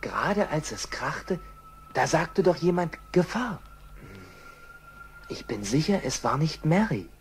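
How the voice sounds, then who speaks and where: An actor playing a kind, level-headed expedition survivor in a children's radio play, speaking earnestly and emphatically. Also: male voice, on the high side, very animated, slow, a little hiss or hum, full character voice. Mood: amazed, grateful. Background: music.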